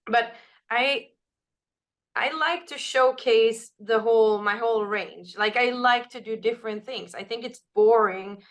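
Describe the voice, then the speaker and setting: Raspy woman talking candidly. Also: on the high side, unhurried. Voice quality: naturally raspy voice. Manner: loose, candid, many "like" and "you know" fillers. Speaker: band singer. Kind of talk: casual interview answers.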